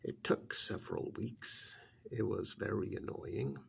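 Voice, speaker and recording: A man voicing an ancient alien creature who scorns humans, in a gravelly, breathy ASMR roleplay monologue that often sinks into whispers, slow and brooding. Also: lowish voice, a bit bright. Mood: weary, annoyed. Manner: slow, deliberate, brooding, with sighs and pauses.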